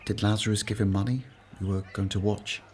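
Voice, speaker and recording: An actor playing a humble, self-doubting former tax collector in a radio play, earnest and hesitant. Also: male voice, on the low side, steady tone, a little fast, darkish tone, a little hiss or hum, lightly acted. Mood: uneasy, doubtful.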